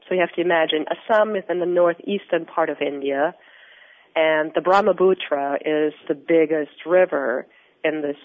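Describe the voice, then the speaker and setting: A woman from Austria with an Austrian accent, a researcher answering interview questions in an earnest, explanatory, measured way. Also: sits low. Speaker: researcher and guest. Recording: tinny phone-line audio.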